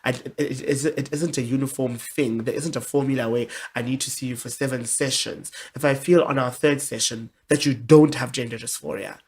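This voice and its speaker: Woman, deep voice, young adult, interview guest, candid, expressive, stop-start phrasing. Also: fairly quick, hardly any pauses. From South Africa. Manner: candid, expressive, with many restarts. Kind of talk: casual interview conversation.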